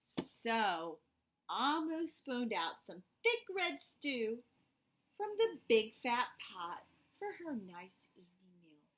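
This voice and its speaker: A woman reads a picture book to young children in a high, bright voice. Her delivery is slow and full of swooping expression, with teacherly asides that check the listeners are following. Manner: animated, teacherly, explains words and asks questions. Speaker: read-aloud storyteller for children.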